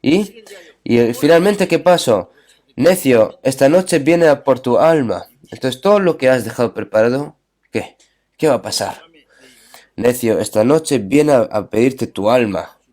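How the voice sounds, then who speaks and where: A man's voice: medium pitch, animated, a little slow, darkish tone. Man preaching with constant rhetorical check-ins. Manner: checks in with listeners through frequent tag questions; storytelling, rhetorical questions. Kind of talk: sermon with scripture readings.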